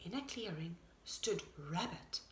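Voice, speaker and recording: A woman teacher reading a children's story expressively, pausing to explain things to young listeners. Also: on the low side. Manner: animated, explanatory. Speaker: pre-primary teacher, storyteller. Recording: roomy echo.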